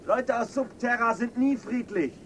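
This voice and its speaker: An actor playing a defiant, distrustful surface-dweller who gives curt orders in a science fiction radio drama. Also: male voice, very high-pitched, normal speed, slight background noise. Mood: threatening. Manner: curt, barking commands.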